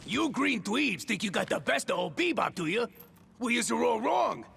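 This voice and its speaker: A man playing a boastful, dim-witted mutant thug in a cartoon video game, brash and gravelly. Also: very animated, normal speed, heavily acted. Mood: gloating, taunting. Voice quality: very gravelly, high. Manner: brash, slangy, shouting.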